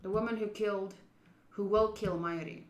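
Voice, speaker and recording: A woman's voice: medium pitch, fairly even intonation, normal speed, roomy sound. A woman streaming a visual novel, informal and blunt, switching between live commentary and reading the game text aloud.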